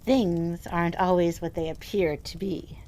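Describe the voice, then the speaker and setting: Stern female guardian played by a woman for horror audio drama. Measured, slow, solemn warnings. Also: fairly low pitch, fairly even intonation, mellow. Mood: grave. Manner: slow, grave, admonishing.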